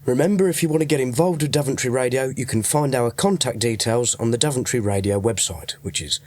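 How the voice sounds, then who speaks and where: A man's voice: mid-range voice, brisk, darkish tone, no echo. A man presenting a scripted outro as the host of a radio podcast.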